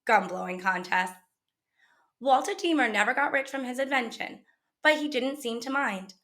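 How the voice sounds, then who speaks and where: A woman reading a children's picture book aloud as a teacher would, in an expressive storytelling manner. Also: a little high, moderate pace.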